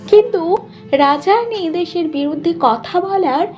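A woman's voice: very high voice, moderate pace, darkish tone, faint music behind. A woman reading scripted fairy-tale narration as a storyteller.

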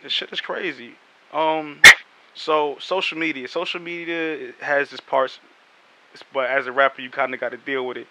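A man's voice: mid-range voice, steady tone, normal speed, pushed and bright, a little hiss or hum, rough recording. A man hosting a casual hip hop interview from a small room at home with a headset, laid-back and conversational, with fillers between his questions. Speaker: interview host.

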